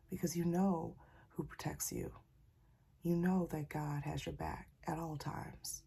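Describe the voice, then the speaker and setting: Husky, low-voiced woman speaking softly. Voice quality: husky, breathy, near-whispered. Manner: folksy, calls listeners y'all and child. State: slightly sick, keeping voice soft. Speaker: spiritual channeler. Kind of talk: casual spiritual message video.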